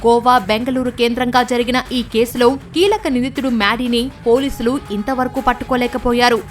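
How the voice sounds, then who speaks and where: High-pitched woman reading news. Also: fairly quick, recorded from a distance, music in the background. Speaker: news reporter narrator. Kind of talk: scripted TV news narration.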